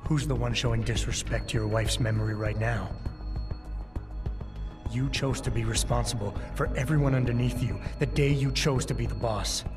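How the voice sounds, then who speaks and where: Male actor as tough game agent: curt, confrontational, mid-pitched, heard with echoing reverb over music. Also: moderate pace, close to an everyday voice. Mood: angry, challenging. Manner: blunt, terse, accusing. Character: hard, confrontational male security operative, curt and distrustful. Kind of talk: dramatic video game acting. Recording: long echoing hall, music behind.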